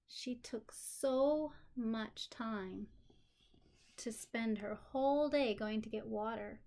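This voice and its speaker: A woman, a teacher reading aloud and explaining simply to children in an unhurried, questioning way. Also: on the high side, darkish tone. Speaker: special education teacher.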